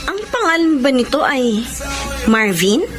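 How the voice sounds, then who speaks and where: A woman's voice: high voice, unhurried. An actress playing an earnest, self-assured young Filipino woman telling her life story in a heartfelt radio drama style. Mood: lively, confiding. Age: young adult. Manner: expressive, heartfelt. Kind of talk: radio drama acting and narration. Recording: music bed behind the voice.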